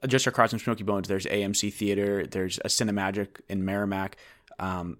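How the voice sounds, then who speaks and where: A young man in casual chat who restarts his sentences and stumbles along. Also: fairly low pitch. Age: young adult. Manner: halting, restarts often, lively.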